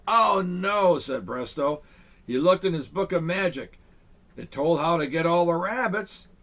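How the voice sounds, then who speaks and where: Gravelly man reading a picture book. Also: fairly high pitch. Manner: chuckling, animated asides to young listeners. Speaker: storyteller in a Santa persona. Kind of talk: reading a picture book aloud with chatty asides.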